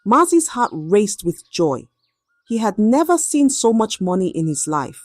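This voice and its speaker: Dramatic female storyteller, lively swooping pitch. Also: unhurried, no room sound. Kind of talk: scripted folktale narration.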